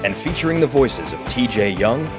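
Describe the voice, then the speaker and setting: A man's voice: mid-range voice, big pitch swings, moderate pace. A man reading scripted credits as an announcer. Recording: voice over loud guitar music. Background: guitar music.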